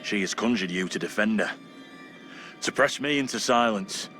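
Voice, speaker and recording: A man's voice: on the low side, moderate pace, pushed and bright. An actor playing a troubled, pious seventeenth-century colonial townsman, speaking in grave, formal archaic English in a game drama. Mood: fearful, suspicious. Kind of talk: period drama game acting. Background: music.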